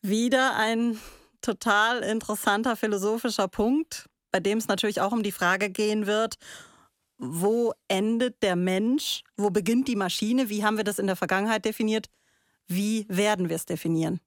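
A woman's voice: mid-range voice, steady tone, moderate pace, no echo, studio quality. A woman presenting a reflective radio discussion, posing abstract questions.

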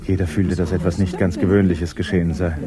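An actor narrating a 1960s radio play in a measured, literary manner. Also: male voice, sits low, flat delivery, a crowd in the background, lightly acted. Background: music.

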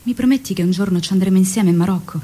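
An actress playing a devoted, longing young wife of a revolutionary in a theatrical radio drama. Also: female voice, mid-range voice, very fast, mellow, close to an everyday voice. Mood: earnest.

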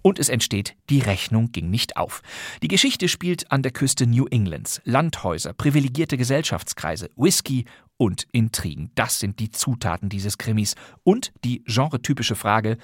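A man's voice: mid-range voice, lively intonation, normal speed, dry recording, clean studio sound. A man hosting a podcast, reading a scripted introduction to a radio play. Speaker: podcast host.

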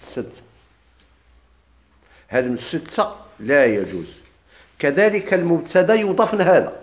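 A man teaching Arabic grammar in a Moroccan accent. He quizzes his students, repeats their answers back to them, and drags out the quoted examples slowly. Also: fairly high pitch, sing-song. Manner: drawls quoted examples slowly, quick plain explanations. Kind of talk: classroom lesson, questioning students.

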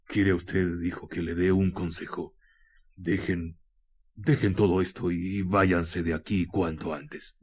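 A grave village priest from a radio play, acted by a man, with a Chilean accent. His voice is very deep and husky. He speaks slowly and haltingly, soothing like a father. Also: steady tone, heavily acted. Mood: grave, advising. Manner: slow, halting, paternal delivery. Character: calm, grave village priest comforting a frightened parishioner. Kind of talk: radio drama acting.

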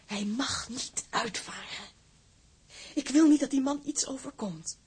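A woman's voice: high-pitched, unhurried, darkish tone, slightly rough, full character voice. An actress playing a passionate, lovestruck female newspaper reporter in a Dutch drama, emotional and intense. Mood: anguished. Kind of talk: Dutch broadcast drama acting.

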